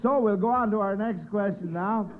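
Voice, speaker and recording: A man's voice: high-pitched, normal speed. A man with an American accent hosting a 1940s radio quiz show in a jovial, folksy, bumbling way. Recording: scratchy old radio broadcast, narrow phone-like sound.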